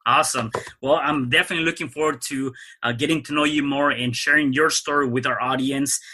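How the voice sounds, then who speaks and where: A man's voice: medium pitch, steady tone, a little fast, bright, forceful tone, slightly rough. A man hosting a podcast interview, upbeat, often repeating words as he starts a thought. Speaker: podcast host.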